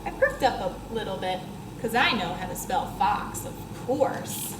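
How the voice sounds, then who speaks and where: A young woman, a first grade teacher, reading a children's book aloud to her pupils in an expressive way and talking to them in questions and explanations. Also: mid-range voice, a little slow. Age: young adult. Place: school classroom, indoors. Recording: roomy classroom echo, clear background noise.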